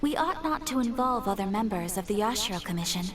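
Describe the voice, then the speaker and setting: A woman playing a gracious, earnest young noblewoman in a game, speaking in a formal, measured and refined way. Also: mid-range voice, lively intonation, distant mic, lightly acted. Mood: composed, formal. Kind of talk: scripted game dialogue.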